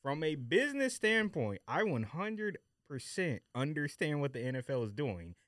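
A man with a bright, lively voice set a bit high, co-hosting a casual sports chat. He rants emphatically in slang and states his opinions plainly. The recording is dry. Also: moderate pace, dry recording.

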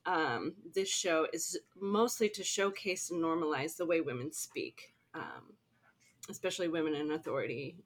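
Woman co-host, very low voice, matter-of-fact. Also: normal speed. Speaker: podcast co-host. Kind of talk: casual podcast interview.

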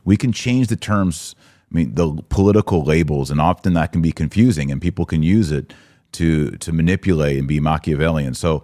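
Male podcast host, low voice, dry close sound, conversational live commentary. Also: brisk, dark tone, dry recording. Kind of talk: live commentary, casual talk with listeners.

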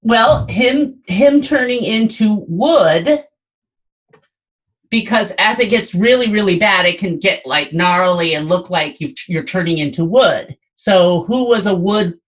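A woman's voice: medium pitch, expressive, measured pace. A woman hosting a casual podcast quiz, explaining things in a conversational way. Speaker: podcast host quizzing a guest.

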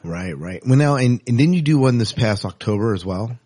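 A man's voice: medium pitch, expressive, fairly quick, dark tone. A man hosting a podcast interview, asking questions and answering with short affirmations. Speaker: podcast host. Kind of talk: interviewing a guest.

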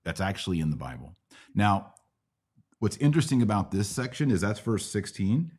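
A man's voice: on the low side, very animated, moderate pace, darkish tone, slightly rough. A middle-aged American man hosting a conversational Bible Q&A, teaching in a didactic way with questions to check understanding. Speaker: podcast host and Bible teacher.

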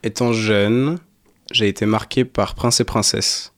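A young man with a fairly low voice speaks as a guest in a casual arts interview. He is reflective and deliberate, building his thoughts in short descriptive phrases. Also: fairly even intonation, a bit bright. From Saint-Malo, France. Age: young adult. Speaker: guest, dancer.

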